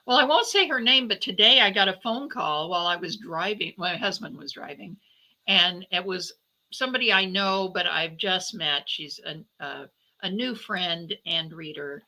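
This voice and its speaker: A woman with a very bright, forward voice pitched a little low. She talks as an author being interviewed, thinking aloud in a conversational way and often reworking her phrasing as she goes. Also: moderate pace, rough recording. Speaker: guest novelist and podcaster.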